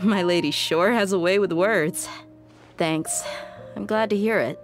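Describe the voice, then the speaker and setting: A woman's voice: mid-range voice, unhurried, natural voice. A woman voicing a brash, easygoing young female mercenary bodyguard in a video game, casual and blunt. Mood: pleased. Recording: music behind the voice.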